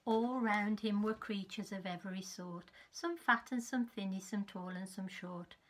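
A woman in her fifties reads a children's story in a measured, expressive way, with a slightly husky voice. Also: mid-range voice. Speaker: teacher reading a storybook to young children. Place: small furnished room at home, indoors.

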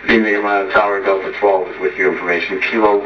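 A man's voice: low voice, flat delivery, racing, a little hiss or hum. A man speaking as a pilot in radio readbacks, heard through a computer speaker. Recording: distant, roomy, muffled speaker playback.

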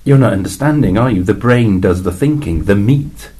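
A weary alien envoy, voiced by a man, very low-pitched with a husky, dark tone. He explains things in a dry, matter-of-fact way, with flashes of exasperated emphasis, in a science fiction story read aloud. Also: lively intonation, moderate pace.

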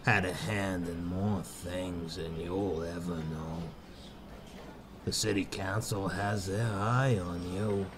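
A man voicing a bitter, menacing older corrupt police boss in a comic fan dub, condescending and drawn-out. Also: low voice, steady tone, background noise. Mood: sneering.

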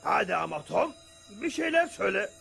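Cartoon inventor dog, pompous, excitable, high creaky voice, music behind. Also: male voice, brisk, heavily acted. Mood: uneasy. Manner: pompous, lecturing. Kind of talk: animated comedy dub acting. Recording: background music under the dialogue.

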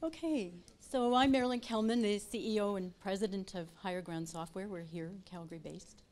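A woman's voice: medium pitch, brisk, darkish tone, slight background noise. A woman CEO giving a business presentation in a conference room, chatty with asides to the audience. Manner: chatty, self-interrupting asides. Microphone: lapel mic.

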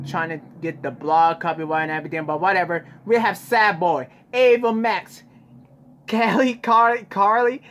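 A man's voice: sits high, fairly bright tone. A young man chatting casually as a reaction video host, informal and exclamatory, recorded on a phone in a bedroom. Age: young adult. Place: small bedroom, indoors. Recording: noisy home recording.

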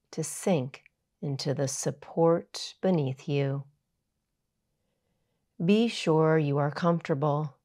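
A woman yoga teacher narrating a guided meditation in a soothing, measured, unhurried way. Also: sits low, darkish tone.

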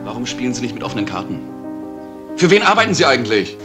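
An actor playing a wry, suspicious gentleman in a 1970s German radio crime drama, sardonic and probing. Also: medium pitch, very animated, quick pace, over music, close to an everyday voice.

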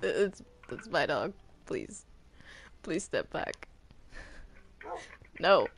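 A woman's voice: fairly low pitch, big pitch swings, very slow. A woman chatting casually in a roleplay game, hesitant and often repeating her words. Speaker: player in a Minecraft roleplay.

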